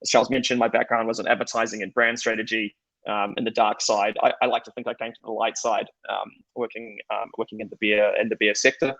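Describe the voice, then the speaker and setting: A man's voice: fairly low pitch, little pitch movement, fast, gravelly, a bit bright, dry recording, rough recording. A middle-aged man with a New Zealand accent giving a conference talk in a conversational, self-deprecating way with frequent restarts. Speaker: conference speaker. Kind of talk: conference presentation.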